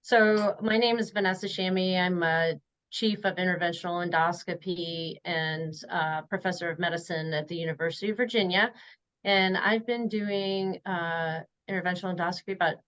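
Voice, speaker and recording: A woman's voice: lowish voice, fairly bright tone, lo-fi sound. A middle-aged woman, a panelist giving a professional introduction of herself.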